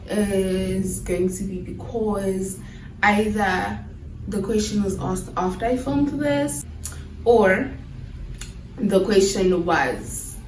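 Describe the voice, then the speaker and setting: A woman's voice: mid-range voice, a little mellow, a little hiss or hum. A nineteen-year-old woman chatting casually in a vlog, laughing easily and often restarting her sentences. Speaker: YouTuber. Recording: echoey room, mic far off.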